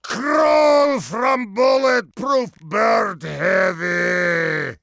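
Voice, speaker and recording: Boastful bird-headed game brute, Russian accent, very slow blustering delivery, gravelly shouts. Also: male voice, high-pitched, no echo, clean studio sound, exaggerated voice. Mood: menacing boasting. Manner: blustering, broken English, bellowed taunts. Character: boastful menacing brute with a tiny bird head. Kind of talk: exaggerated video game character acting.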